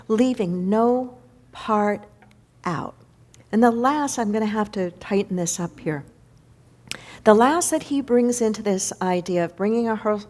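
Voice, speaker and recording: A woman minister delivering a reflective spiritual sermon, slowing down to stress key words. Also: mid-range voice, darkish tone. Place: church sanctuary.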